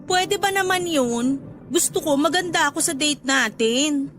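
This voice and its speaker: High-voiced, teasing young woman. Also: unhurried, a bit bright, faint music behind. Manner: playful, teasing, laughing. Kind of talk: Filipino radio drama acting.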